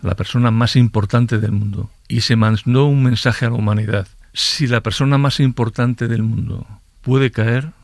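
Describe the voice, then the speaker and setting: A man gives interview commentary as an expert author, with a gravelly, creaky voice. He speaks haltingly and repeats words for emphasis, recorded very dry and close. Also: mid-range voice, fairly even intonation, a little mellow, no room sound.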